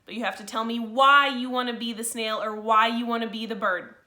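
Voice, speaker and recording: A woman teacher reading a picture book to children in a slow, expressive way, with informal asides to her pupils. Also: a little high. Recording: roomy, echoey sound.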